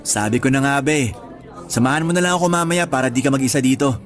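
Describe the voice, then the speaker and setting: A young male teacher played by a radio drama actor talks in a mid-pitched, casual voice. He is friendly and reassuring, teasing a little like a helpful colleague, over faint music. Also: quick pace, lightly acted. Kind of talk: conversational Tagalog radio drama acting.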